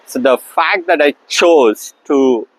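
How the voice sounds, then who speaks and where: A man's voice: a little high, takes it slowly, poor audio. A middle-aged man from India, a Tamil speaker, explaining ideas in a reflective monologue full of fillers and restarts. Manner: thinking aloud with many fillers and restarts. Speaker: host. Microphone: camera set up across the room.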